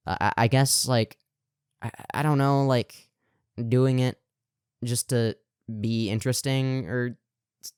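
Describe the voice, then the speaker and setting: A young man co-hosting a podcast in a smooth, resonant voice, recorded dry and close. He rambles through his points with many fillers and restarts, swearing casually in a blunt, contrarian way. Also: medium pitch, darkish tone, no echo. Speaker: podcast co-host.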